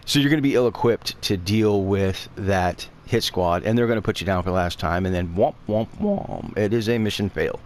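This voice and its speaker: Low-voiced man with allergy sniffles. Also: moderate pace, hardly any pauses, slight background noise. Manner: rambling, self-correcting, with frequent fillers. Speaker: gaming guide creator. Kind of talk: casual game tutorial narration.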